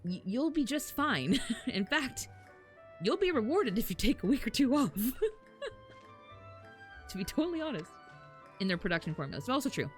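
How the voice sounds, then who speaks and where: Animated woman streamer chatting casually. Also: on the high side, moderate pace, pauses often. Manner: animated, swears casually, chuckles while talking. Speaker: game livestreamer. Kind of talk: casual chat with stream viewers. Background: faint game music and character voice lines.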